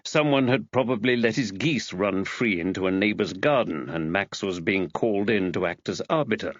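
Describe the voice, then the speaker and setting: A man's voice: on the low side, expressive, moderate pace, no echo, highs cut off. A man narrating a mystery audiobook from a script.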